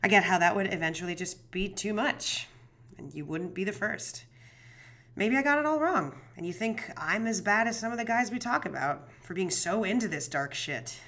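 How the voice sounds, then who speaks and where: A woman's voice: medium pitch, lively intonation, normal speed, fairly bright tone. An actress playing a young woman true crime podcast host, talking in an anxious, rambling, swearing, self-deprecating way. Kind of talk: audio drama monologue.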